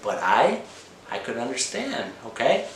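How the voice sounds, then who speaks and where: A man's voice: mid-range voice, wildly swooping pitch, a little slow. An American man teaching language learners, repeating simple phrases, often checking with "okay?" and sometimes switching between English and Spanish. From Indiana, United States. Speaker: language teacher, channel host. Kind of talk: slow comprehensible-input lesson for learners. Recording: echoey room with noticeable background noise.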